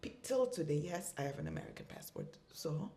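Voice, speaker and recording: Low-voiced woman, chatty podcast guest. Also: brisk, gravelly. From Congo. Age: young adult. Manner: animated, frequent "like" and "right?" fillers. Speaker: guest, fashion designer and entrepreneur. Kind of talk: casual podcast interview.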